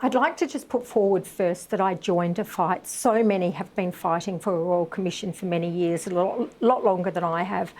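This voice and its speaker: Middle-aged woman, lowish voice, passionate advocate talking on air with fillers. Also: moderate pace, few pauses, a little mellow. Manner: emphatic, impassioned, many fillers. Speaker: bereaved mother and veterans' advocate. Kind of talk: live TV interview.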